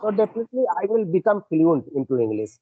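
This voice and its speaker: A man practices his English with a coach, hesitant and halting, full of fillers and restarts. His voice sits high. It comes through a tinny, phone-like, dry line. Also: big pitch swings, no room sound. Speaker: English learner. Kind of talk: conversation practice call. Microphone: online call.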